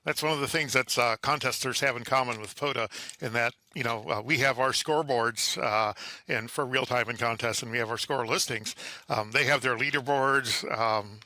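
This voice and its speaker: A man answering as an interview guest, explaining things conversationally in a halting way with frequent pauses and repeated words. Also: medium pitch, bright, forceful tone, dry recording. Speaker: guest, amateur radio contester.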